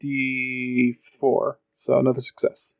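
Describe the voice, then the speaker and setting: Guy playing an rpg, normal pitched voice, chatting and acting in character, music underneath. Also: completely flat delivery, measured pace, vocal fry, dry recording. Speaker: player in a tabletop game. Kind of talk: improvised in-character roleplay and casual game talk.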